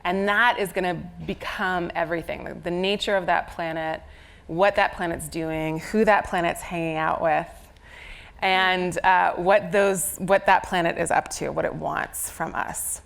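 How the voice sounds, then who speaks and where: A woman's voice: on the low side, fairly even intonation, measured pace, creaky. A woman with a slight head cold, speaking conversationally on stage as an astrologer explaining a chart reading. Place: on stage at a live venue.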